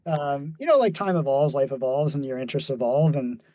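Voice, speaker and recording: A man's voice: medium pitch, animated, a little fast, dark tone, dry recording. Guy in his forties musing on a podcast, lots of ums and restarts, dry close mic. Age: 40s. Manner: thoughtful, many fillers and restarts, laughs easily. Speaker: podcast guest, mountain runner. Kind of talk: reflective casual conversation.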